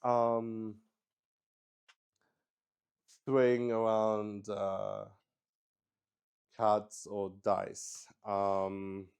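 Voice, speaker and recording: A man's voice: fairly low pitch, very slow, vocal fry, long pauses, darkish tone, smooth. A man hosting a casual livestream, thinking aloud and rambling in a hesitant way. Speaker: streamer hosting a solo tabletop roleplay stream. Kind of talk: casual improvised livestream chat.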